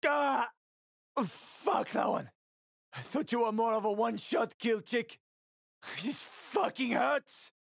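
A man's voice: very high voice, slow, gravelly, pauses often, no room sound, studio quality, exaggerated voice. An actor playing a world-weary, streetwise male survivor in a video game, with a gritty, sardonic, foul-mouthed manner and dramatic delivery. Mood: agitated, angry.

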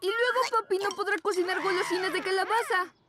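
A woman voicing a young boy pug puppy in a children's cartoon dub, energetic but quick to doubt. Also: very high-pitched, loud music behind the voice. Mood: worried.

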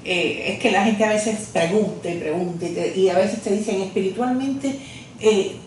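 A woman from Cuba, hosting an interview in an office and responding warmly to her guest. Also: mid-range voice, moderate pace, a little hiss or hum. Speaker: interviewer, host. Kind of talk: casual conversational interview. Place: office, seated at a desk. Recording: echoey room from far off.

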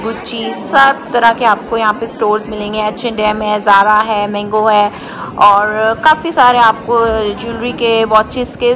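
Woman narrating a city tour. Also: on the high side. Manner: casual, listing places and prices. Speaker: vlogger.

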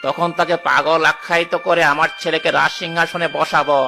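A man playing a cruel, ambitious royal minister in a fairy-tale cartoon, speaking in a forceful, menacing manner. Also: on the high side, flat delivery, a little fast, vocal fry, music in the background. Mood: cold scheming.

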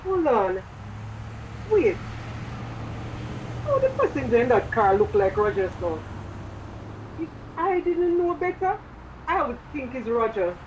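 A woman's voice: fairly high pitch, big pitch swings, slow pace, pauses often, muffled recording, slight background noise. A woman playing a bossy, exasperated grandmother with a Jamaican accent in a radio drama, commanding in manner. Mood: insistent.

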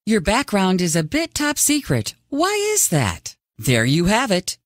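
A woman's voice: medium pitch, huge pitch swings, a little slow, no room sound. An actress voicing a curious young female sleuth in a video game, with a dry, probing manner. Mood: wry, probing.

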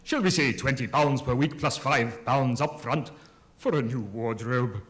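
A man's voice: medium pitch, unhurried, fairly bright tone, close to an everyday voice. A man voicing a plummy, unctuous Victorian theatrical impresario with florid manners, in a comic stage story. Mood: smooth, insistent.

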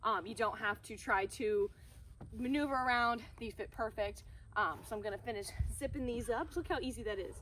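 A woman's voice: fairly high pitch, moderate pace, a bit bright. A young American woman talking enthusiastically and emphatically, in the casual, chatty style of a vlogger reviewing products to camera. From Central Florida, United States. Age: young adult. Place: outdoors, by a wooden wall and open field. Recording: noisy outdoor camera audio.

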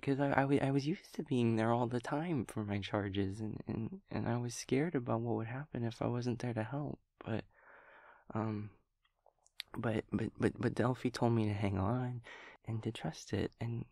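Audio roleplay, male actor as scatterbrained young male empathy daemon, guileless and sweet, halting delivery with gravelly fry, very dry close sound. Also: mid-range voice, a little mellow, dry recording, natural voice. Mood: anxious, subdued. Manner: stammering, repeating words, breaking into giggles. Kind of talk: intimate audio roleplay monologue.

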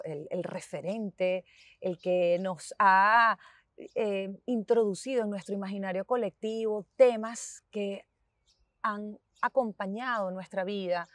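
A woman in her thirties hosting an interview, asking questions in a warm, lively way. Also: mid-range voice, dry recording. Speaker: interviewer, host. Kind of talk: conversational interview. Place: outdoors in a city park. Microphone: clip-on lapel mic.

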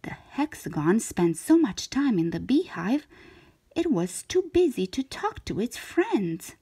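A woman narrates a picture book for young children in a fairly high voice with a slightly breathy edge. She phrases deliberately and dramatically, with a lively rise and fall. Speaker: children's storybook reader. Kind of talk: expressive scripted read-aloud narration.

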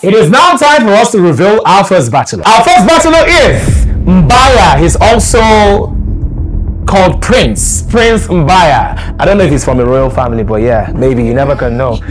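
Animated, playful dating show host. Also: male voice, high voice, normal speed, music in the background, poor audio. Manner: animated, playful, jokes and hypes the contestants. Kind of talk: lively game show hosting.

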